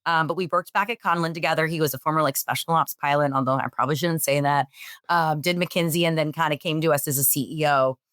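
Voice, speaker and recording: A woman answering interview questions in an energetic, emphatic way; she says she has a loud voice. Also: low voice, fast talker, dry recording, clean studio sound. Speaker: real estate executive guest.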